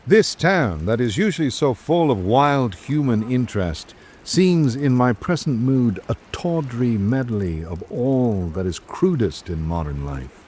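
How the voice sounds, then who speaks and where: A man playing a reflective traveller, narrating an island visit in measured literary prose for a radio drama. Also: medium pitch, very animated, darkish tone, breathy edge. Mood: wistful.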